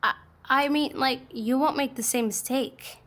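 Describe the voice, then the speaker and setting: A woman's voice: high-pitched, a little slow, a bit bright. A young woman playing a pushy, encouraging teenage girl, the best friend in a student audio drama, insistent and coaxing. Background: music behind the voice.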